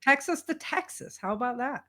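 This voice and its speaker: A woman's voice: high voice, lively intonation, normal speed, bright, forceful tone. A woman with an American accent chatting casually as a guest, telling stories in a candid way with everyday slang. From rural United States. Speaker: guest, indie author and entrepreneur. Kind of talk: casual conversational storytelling.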